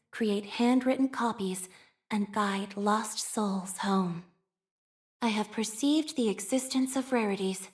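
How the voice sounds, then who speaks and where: An actress voicing a soft-spoken, devout young woman scholar of a religious order in a video game, speaking formally and solemnly. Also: mid-range voice, natural voice. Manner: formal, solemn, measured, reverent. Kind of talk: scripted video game character voice lines.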